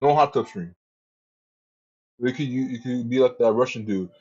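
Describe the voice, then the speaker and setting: A man's voice: mid-range voice, moderate pace, mellow, husky. Rambling profane male streamer, lo-fi. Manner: rambling, profane, repeats himself. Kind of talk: casual livestream chat.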